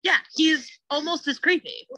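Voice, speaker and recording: A woman's voice: high-pitched, normal speed, sharp tone, dry recording. A woman chatting casually as a panelist on a TV episode podcast.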